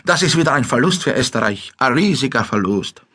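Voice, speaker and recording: Chatty dim bohemian-austrian ex-soldier for comic audiobook, husky, really swoopy delivery. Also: male voice, mid-range voice, animated, fairly quick, bright, forceful tone. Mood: mock-earnest chatty. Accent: Bohemian-tinged Austrian. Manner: rambling, folksy, mock-naive. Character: chatty, simple-minded, good-natured Czech dog seller and ex-soldier.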